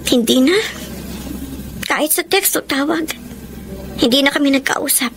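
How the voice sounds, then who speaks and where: Casting: guilt-ridden Filipino bride, high-pitched, anguished, radio romance melodrama, music behind. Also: female voice, creaky. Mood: resigned remorse. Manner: anguished, drawn-out, emotional delivery. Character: heartbroken, guilt-ridden young bride who betrayed her fiancé. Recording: music under the voice.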